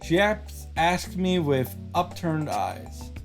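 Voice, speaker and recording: A man reading visual novel text aloud and adding his own animated reactions and summary commentary. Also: fairly high pitch, slow, creaky, soft background music. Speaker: visual novel streamer and narrator.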